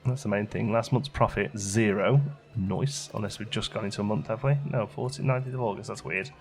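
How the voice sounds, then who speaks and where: A man's voice: on the low side, fairly even intonation, brisk, gravelly. A man giving casual gameplay commentary, chatting and musing aloud as he questions his own choices, as a let's play host.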